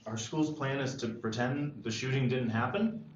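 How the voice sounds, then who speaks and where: A man reading the part of an idealistic, easily frustrated high school senior at a screenplay table read. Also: normal speed, lightly acted. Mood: frustrated, unsure. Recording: dull echoey room, noisy.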